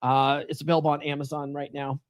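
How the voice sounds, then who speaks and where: A man with a fairly high voice runs a podcast monologue in a loose, profane, rambling way. He leans on fillers and keeps tossing in "right?" to the listener. The sound is dry and close, with almost no room around it. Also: monotone, fast talker, no room sound. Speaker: podcast host. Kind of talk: casual live-stream monologue.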